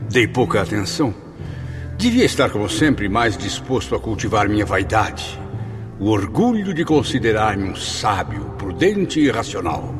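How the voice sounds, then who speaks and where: A man acting in a radio drama as a proud, skeptical intellectual spirit, speaking in a formal, theatrical, reflective way. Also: lowish voice. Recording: long reverb, music behind the voice.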